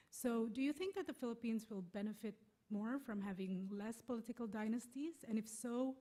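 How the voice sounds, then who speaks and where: Mellow-voiced young woman asking politely. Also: medium pitch. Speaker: student audience questioner. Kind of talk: polite prepared question at a public forum.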